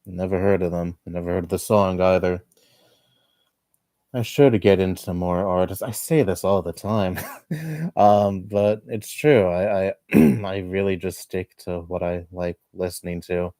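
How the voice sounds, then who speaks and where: A man in his twenties chatting casually on a livestream at home, rambling and laughing softly between thoughts. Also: low voice, fairly even intonation, measured pace, dry recording, studio quality. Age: 20s. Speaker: livestreamer reading chat. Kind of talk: casual chat.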